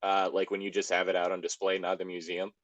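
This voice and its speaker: A man's voice: sits low, monotone, quick pace. A man co-hosting a podcast, chatting casually and joking in a wry way over an online call. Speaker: podcast co-host. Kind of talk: casual joking group chat.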